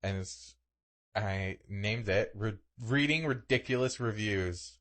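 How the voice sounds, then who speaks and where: A man's voice: fairly low pitch, takes it slowly, fairly bright tone. A man co-hosting a podcast in casual, comedic chat, thinking aloud a bit hesitantly as he goes. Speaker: podcast co-host.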